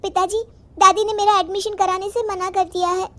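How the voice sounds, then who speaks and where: A woman's voice: very high-pitched, flat delivery, moderate pace, voice pushed up. A woman voicing an earnest, pleading schoolgirl in an acted moral story. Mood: pleading, upset. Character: earnest schoolgirl granddaughter, eager to study.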